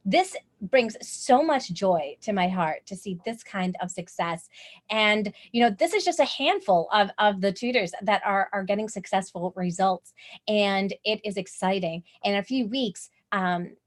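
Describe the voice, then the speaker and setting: A woman's voice: medium pitch, animated, unhurried. A woman hosting an online tutoring masterclass, bubbly and gushing, with lots of "like" fillers. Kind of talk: enthusiastic webinar hosting.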